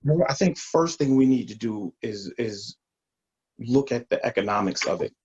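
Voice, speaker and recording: A man from Albany, New York, a veteran guest telling relaxed stories in casual interview talk. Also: mid-range voice, moderate pace, slightly rough. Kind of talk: casual storytelling. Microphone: phone on speaker. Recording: muffled speakerphone call.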